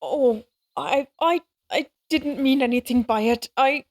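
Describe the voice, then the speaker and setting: A woman's voice: sits high, expressive, measured pace. A woman acting in an audio roleplay as an anxious, tender young mother who speaks hesitantly and wistfully. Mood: hesitant, shy.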